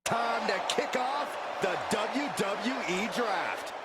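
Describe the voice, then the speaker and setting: A man voicing an excitable wrestling commentator in a video game, emphatic and reactive. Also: high voice, slow pace, over loud music. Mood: excited. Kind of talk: sports commentary. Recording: loud arena noise behind voice.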